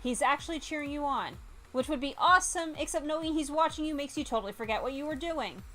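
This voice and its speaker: A woman's voice: sits high, fast. A woman doing live game stream commentary, reading on-screen text aloud and breaking into exasperated exclamations. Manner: exclaims loudly in exasperation, mutters while reading. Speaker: VTuber streamer.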